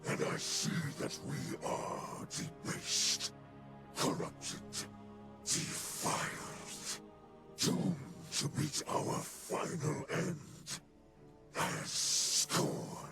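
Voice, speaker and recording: An actor voicing an ailing, proud male alien leader with a breathy, hissing voice, speaking slowly and gravely. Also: on the high side, steady tone. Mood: despairing. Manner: slow, grave, dramatic rises. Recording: game soundtrack under voice. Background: music.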